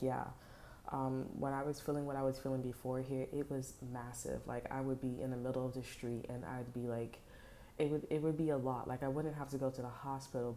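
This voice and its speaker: A woman reads tarot for her viewers from home, her voice very low-pitched and dark. She rambles in a hushed tone, full of fillers and restarts, through a headset mic. A faint noise sits under the recording. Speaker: tarot reader. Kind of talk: casual spiritual talk to viewers. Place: home at night. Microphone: headphones with mic.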